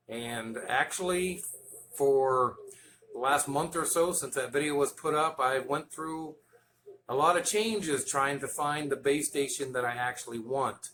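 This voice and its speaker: A man's voice: mid-range voice, a bit bright. A man hosting a hobby video, telling stories in an informal, folksy and casual way. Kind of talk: casual vlog storytelling. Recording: clear background noise.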